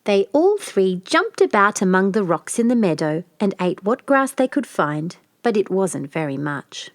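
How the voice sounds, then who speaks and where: A woman narrates a bedtime fairy tale for children. Her reading is expressive, with pitch that rises and falls widely, and the sound is close and dry. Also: unhurried, dry recording. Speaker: storyteller. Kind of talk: scripted children's storybook narration.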